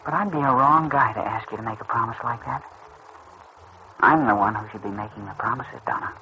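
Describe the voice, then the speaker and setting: An actor playing an earnest, boyish teenage lad, tender and proud, in a mid-century radio soap style. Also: male voice, medium pitch, fairly quick, lightly acted. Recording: muffled, noisy old radio recording.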